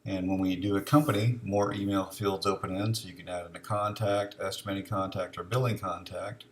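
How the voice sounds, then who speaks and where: A man with a low voice talks through a software screencast one step at a time. His delivery is informal and instructional. The recording is clearly noisy, with keyboard typing and mouse clicks behind him. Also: steady tone, brisk. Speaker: software demonstrator. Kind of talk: casual step-by-step screencast walkthrough. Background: noise with keyboard typing and mouse clicks.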